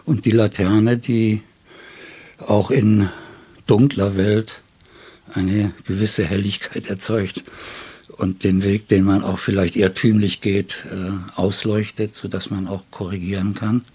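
Man in his seventies, lowish pitch, very husky, deliberate halting phrasing, ornate wording, reflective literary talk. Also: flat delivery, dark tone. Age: 70s. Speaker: interview guest, poet.

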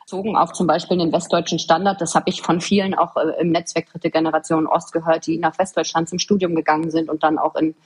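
A woman speaks in a fairly low register with a very husky, breathy edge. She answers as an interview guest, explaining things earnestly and articulately, leaning on her key words with emphasis. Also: level tone, fast talker, hardly any pauses, a little mellow. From eastern Germany. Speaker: podcast guest, government official.

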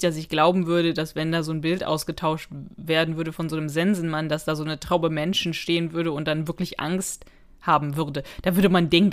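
A woman co-hosting a podcast, talking in a chatty, informal way as she reviews an audio drama. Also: deep voice. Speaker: podcast co-host.

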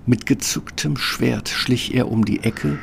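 A man's voice: medium pitch, unhurried, creaky, slight background noise. A man narrating a scripted fairy tale as its storyteller.

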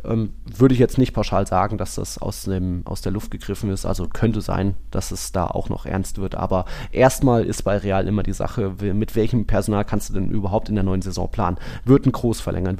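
Gravelly, mellow-voiced podcast man. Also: fairly low pitch. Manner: rambling, self-correcting analysis with many fillers. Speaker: podcast co-host. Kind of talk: casual football podcast chat.

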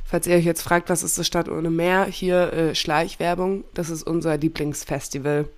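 A young woman hosting a relaxed podcast, her voice a little low and slightly stuffy from a cold. She chats easily, laughs often and corrects herself as she goes. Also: normal speed. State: has a cold. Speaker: podcast host. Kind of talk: casual chat.

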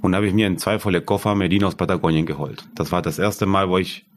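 Guy w a low voice, halting german, stops to find words. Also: slight background noise. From Argentina. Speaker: guest, yarn company founder. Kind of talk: casual interview.

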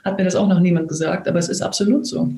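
A woman in her fifties from eastern Germany, an actress hosting a reflective conversation, explaining earnestly and slowly with many restarts. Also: lowish voice, steady tone, dark tone. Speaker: actress and talk host. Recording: roomy echo.

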